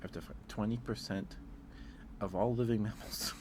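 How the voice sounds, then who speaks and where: A man's voice: fairly low pitch, fairly even intonation, moderate pace, background noise. A man chatting casually on an ASMR livestream, rambling hesitantly and repeating his phrases, close to the microphone.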